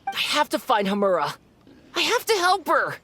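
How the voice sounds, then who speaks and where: A woman's voice: sits high, huge pitch swings, moderate pace, sharp tone, a little hiss or hum, full character voice. A woman voicing a devoted, hot-tempered young male imaginary-friend creature in a video game, earnest and emotive. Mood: desperate. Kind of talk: animated video game dialogue.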